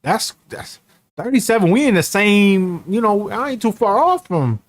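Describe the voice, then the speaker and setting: A man's voice: high voice, very animated, moderate pace, no echo. A man in his thirties riffing over a video he's watching, his voice bouncing up and down as he teases and jokes in easygoing slang. It sounds close and dry, with no room around it. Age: 30s. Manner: mocking, laid-back banter with slang. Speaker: streamer reacting to a video. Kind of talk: casual reaction commentary.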